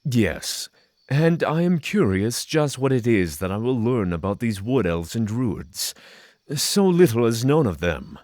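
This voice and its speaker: A man's voice: mid-range voice, very animated, a little mellow, no room sound, clean studio sound. A man playing an earnest, cautious, reflective spiritual pilgrim in a fantasy audio drama, formal and hesitant. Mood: thoughtful. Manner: formal, hesitant, thoughtful pauses.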